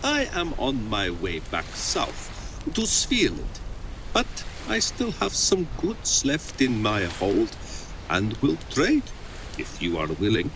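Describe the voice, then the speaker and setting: An actor playing a genial seafaring merchant in a video game, pitching his wares in an inviting, salesmanlike way. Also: wildly swooping pitch, slow, background noise, heavily acted. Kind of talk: dramatic game cutscene acting.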